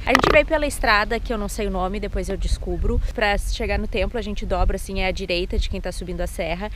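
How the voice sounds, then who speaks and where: A woman's voice: mid-range voice, quick pace, very noisy. A woman, chatting casually on a travel vlog from a handheld camera at arm's length. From southern Brazil. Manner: chatty, relaxed, self-mocking. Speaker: vlogger. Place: outdoors by a country road and in a car. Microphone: handheld action camera at arm's length.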